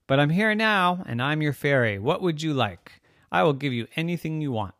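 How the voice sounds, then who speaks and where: A man acts out a fairy cow for a children's bedtime story, eager and proud of itself. The voice booms loudly and lifts high, stretching words into long moos. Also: lively intonation, dry recording, clean studio sound. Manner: drawn-out mooing, loud booming exclamations. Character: eager, dim, boastful fairy cow with wings.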